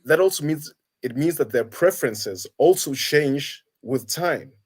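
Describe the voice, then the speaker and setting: A man's voice: fairly high pitch, expressive, slightly rough. Hesitant man in his thirties. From Kenya. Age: 30s. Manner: halting, many restarts and fillers. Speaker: guest. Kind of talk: casual conversation. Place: Denmark.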